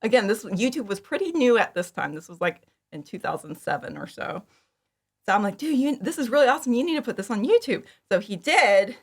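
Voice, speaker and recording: A woman's voice: on the high side, big pitch swings, fairly quick, slightly rough. A woman talking casually and informally as an interview guest, chatty and prone to acting out her own remarks. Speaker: podcast guest, fan audio drama creator.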